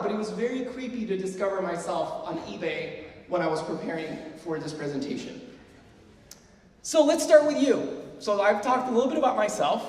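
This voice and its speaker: A man in his forties from Sweden, presenting a workshop to music students in a chatty, self-deprecating way with plenty of fillers. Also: high-pitched. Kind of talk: casual lecture to students. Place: lecture hall. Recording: roomy hall, mic far off.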